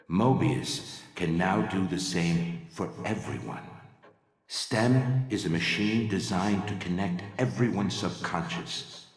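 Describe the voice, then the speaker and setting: A messianic video game villain with a deep voice. He speaks slowly, grandly and persuasively, never hurried. The sound is distant, in a big echoing hall. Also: heavily acted. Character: calm, messianic male leader of secret organization. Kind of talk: villain speech in game acting. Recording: echoey hall, distant from microphone.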